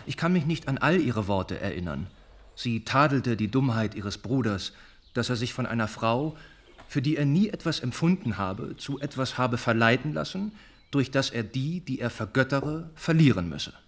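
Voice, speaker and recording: An actor playing an earnest, wounded young gentleman who confides haltingly and reflectively in a radio drama. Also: medium pitch, animated. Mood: pained, reflective.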